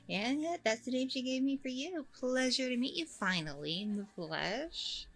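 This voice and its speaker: A woman's voice: high voice, lively intonation, slow pace, husky, heavily acted. A woman playing a friendly, nervous single mom on a blind date in an ASMR roleplay, rambling casually in a bubbly way. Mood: shy, friendly. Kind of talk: ASMR audio roleplay, conversational.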